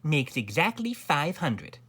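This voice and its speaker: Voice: mid-range voice, big pitch swings, slow, fairly bright tone, husky, exaggerated voice. A storybook narrator voicing a fussy, weary royal counter who tallies and is easily flustered. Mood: tired. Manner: mumbling, tallying, easily flustered.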